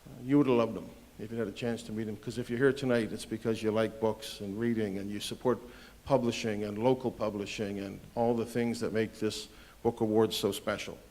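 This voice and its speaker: A man giving a heartfelt spoken tribute at a ceremony, hesitant at times with small pauses. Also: lowish voice, fairly even intonation, darkish tone.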